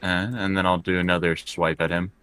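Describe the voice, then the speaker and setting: A man's voice: low-pitched, little pitch movement, a little fast, fairly bright tone, clear, resonant tone, no echo. A man chatting casually as a player in a tabletop role-playing game. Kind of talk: casual chat during tabletop game play.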